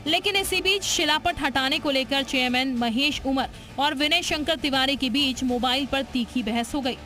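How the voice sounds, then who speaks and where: Female news narrator, bright forceful tone, dramatic scripted read with music underneath. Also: high voice. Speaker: news presenter.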